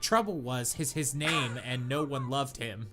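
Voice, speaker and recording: A man's voice: mid-range voice, a little slow. Young guy hamming up a bad story read-aloud, sarcastic, middling voice, faint music behind. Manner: reads with mock earnestness, breaks into laughter. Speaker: podcast host reading fanfiction aloud. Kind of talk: comedic dramatic reading with sarcastic asides.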